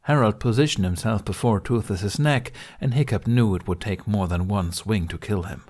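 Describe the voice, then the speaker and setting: A man's voice: lowish voice, moderate pace, a little mellow. A man reading a dramatic adventure audiobook as its narrator, in a scripted storytelling style.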